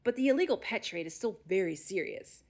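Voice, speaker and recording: An actress playing a brisk, skeptical but helpful animal expert, speaking matter-of-factly in an audio drama. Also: female voice, mid-range voice, expressive, darkish tone, natural voice. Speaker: outside expert being interviewed.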